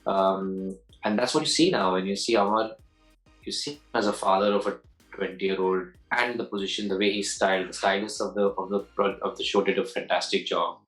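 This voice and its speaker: A man's voice: deep voice, steady tone, a little fast, fairly bright tone, faint music behind, poor audio. A man in his forties, an actor answering interview questions in a loose, rambling way, often restarting and repeating words, with easy laughs. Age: 40s.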